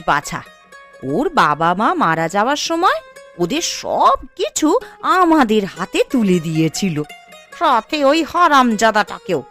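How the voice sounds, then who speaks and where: A high-pitched, scheming village aunt in a cartoon. Her delivery swoops up and down, bossy and dripping with sarcasm. Music plays underneath. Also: heavily acted. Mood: scornful, scheming. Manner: sharp-tongued, sarcastic, bossy. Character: scheming, scolding middle-aged village aunt, doting mother. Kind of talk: Bengali cartoon voice acting.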